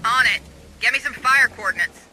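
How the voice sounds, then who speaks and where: A very high-voiced, sharp military pilot. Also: male voice, normal speed, slight background noise, heavily acted, rough recording. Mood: alert. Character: wry, casual military pilot on air support. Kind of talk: military video game voice acting.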